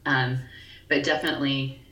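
A woman in her forties with a deep, scratchy voice, co-hosting a podcast in casual chat, talking haltingly with pauses and ums. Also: little pitch movement, pushed and bright, distant mic. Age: 40s. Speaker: podcast co-host. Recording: echoey room, voice a bit off-mic, faint noise.

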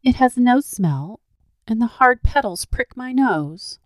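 A woman's voice: mid-range voice, animated, moderate pace, dry recording, pitched above the performer's own voice, close to an everyday voice. A woman voicing a sweet little girl who is upset and tearful in a storybook reading. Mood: petulant.

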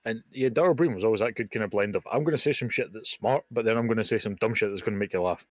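Blunt, low-voiced podcast co-host man. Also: no echo, studio quality. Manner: blunt, swears casually, quick jokey asides. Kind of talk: casual chat. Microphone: home mic over a voice call.

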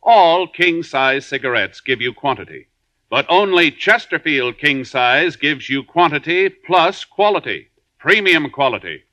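A male announcer with a drawn-out, emphatic delivery, reading a sponsor message and show opening in 1950s radio style. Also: fairly high pitch, animated, sharp tone.

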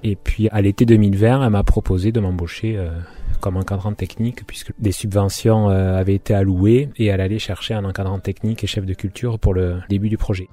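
A man from Béarn, a market gardener who supervises farm workers, speaking informally in an interview and often pausing to think. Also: fairly low pitch, steady tone, warm tone. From Béarn, France. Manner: informal, thinks aloud with frequent hesitations. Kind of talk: relaxed interview talk.

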